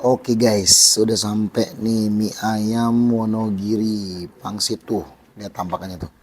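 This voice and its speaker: Hoarse excited man, mellow voice. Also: fairly low pitch, measured pace, slight background noise. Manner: excited, keeps calling viewers "guys". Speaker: food vlogger. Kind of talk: casual street-food vlog. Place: outdoor food stall by a sports field.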